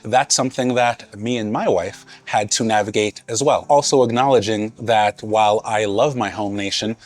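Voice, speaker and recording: A man in his thirties, born in Haiti and raised in Massachusetts, talking to camera in an earnest, conversational, unhurried way. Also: lowish voice. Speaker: vlogger. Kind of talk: casual reflective talk to camera.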